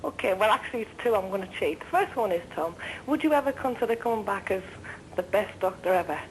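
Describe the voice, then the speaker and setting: A woman's voice: medium pitch, expressive, quick pace, low-quality recording. A woman calling in to a live TV show, an eager, gushing fan putting questions to the guest. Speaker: phone-in caller. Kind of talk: eager phone-in call. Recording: faint background noise.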